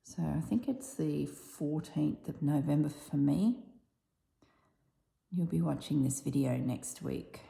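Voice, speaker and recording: Husky low-voiced Australian woman, echoey room. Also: slow pace. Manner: chatty, softly drops to near-whispers while stitching. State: voice strained from yelling. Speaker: embroidery vlogger. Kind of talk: casual chat while stitching. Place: tiled room with little on the floor.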